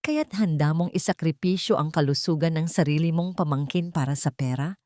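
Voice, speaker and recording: A woman's voice: deep voice, dark tone, no echo, natural voice. Woman acting a cold, commanding executive, close and dry. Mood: cold accusation. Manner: cold, stern, measured.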